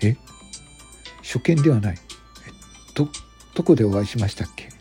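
A man's voice: lowish voice, animated, fairly quick, dark tone, natural voice. A man playing a polite, modest male comedian with a hesitant manner in an amateur radio drama. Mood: puzzled, modest. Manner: deferential, hesitant. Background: background music.

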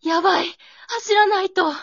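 A woman playing an anxious, bewildered high school girl in an amateur radio drama, thinking aloud in exclamations. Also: normal speed, fairly bright tone, dry recording, dull audio.

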